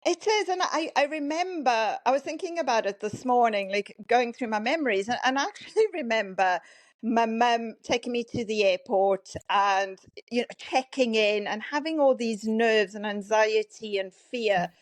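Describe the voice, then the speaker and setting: A woman's voice: a little high, moderate pace, dry recording. A woman in her fifties from South Africa, with a South African accent carrying a Welsh twang, telling her story chattily as a podcast guest. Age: 50s. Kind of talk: casual interview chat.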